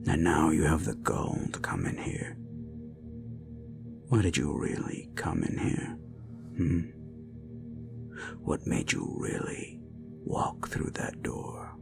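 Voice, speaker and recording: A man playing a cruel, menacing slasher killer in a horror audio roleplay, taunting a captive in a gravelly, breathy half-whisper. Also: a bass voice, big pitch swings, slow, long pauses, heavily acted. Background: music.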